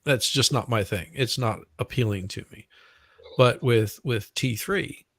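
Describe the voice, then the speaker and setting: A man with a Southern US accent in casual live stream chat, talking in a halting, reflective way. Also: medium pitch, a bit bright, no room sound.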